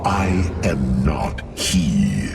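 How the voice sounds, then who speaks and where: A man's voice: rumbling low, big pitch swings, very slow, gravelly, distant mic, heavily acted. A man playing a grave, honorable robotic alien warrior in a video game, formal and dignified. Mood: brooding. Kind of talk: dramatic game cutscene acting. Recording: loud music behind the voice.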